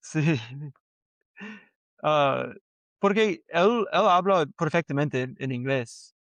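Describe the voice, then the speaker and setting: A man speaking learner Spanish at an unhurried pace. His voice is clear and clean, and the sound is dry and close. He talks hesitantly, restarts often and fills gaps with quick yeses. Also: a little high, lively intonation, fairly bright tone, no room sound. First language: English. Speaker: podcast co-host. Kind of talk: casual conversation in learner Spanish.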